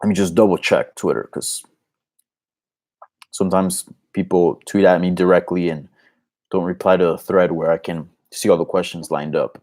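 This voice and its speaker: Male host, from Colombia, Spanish first language, lower voice, casual conversational live Q&A delivery. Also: level tone, normal speed, darkish tone. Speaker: host of an MMA live Q&A show. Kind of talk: casual unscripted live Q&A commentary.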